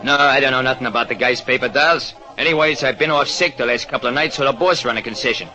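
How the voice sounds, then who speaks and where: An actor playing a surly, evasive American bingo caller with slangy speech in an old radio drama. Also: male voice, mid-range voice, fast, sharp tone, highs cut off, lightly acted.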